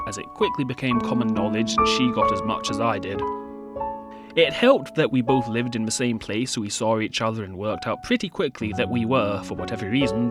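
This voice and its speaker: A man's voice: medium pitch, natural voice. A man playing a bullied, loyal teenage outsider, narrating a wry, confiding monologue in an audio drama. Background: piano music.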